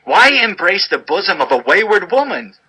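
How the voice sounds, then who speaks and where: A man's voice: sits high, big pitch swings, moderate pace, sharp tone, breathy edge, rough recording. A man giving a solemn, fatherly dramatized reading of scripture as narrator, instructing as he goes.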